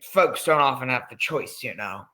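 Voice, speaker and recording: Man playing a bright-voiced, folksy old salesman. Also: mid-range voice, expressive, moderate pace. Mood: sly, apologetic. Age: older. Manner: rambling, folksy, courteous sales patter. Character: folksy, eager old coffin salesman, politely sinister. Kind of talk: old-time radio drama acting.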